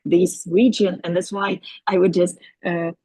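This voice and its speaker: A woman whose first language is Crimean Tatar, speaking up from the audience at a discussion, hesitant and pausing often with fillers as she searches for words. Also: lowish voice, flat delivery, warm tone, husky, no room sound. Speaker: audience member asking a question. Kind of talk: spontaneous discussion remarks.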